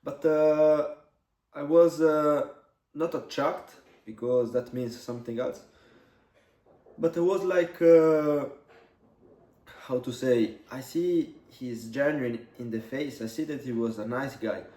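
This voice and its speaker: A man in his twenties from Italy, a native Italian speaker, telling a personal story in English for his vlog, halting with many pauses. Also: medium pitch, dark tone. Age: 20s. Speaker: vlogger. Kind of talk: casual storytelling to camera. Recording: echoey room, mic at a distance.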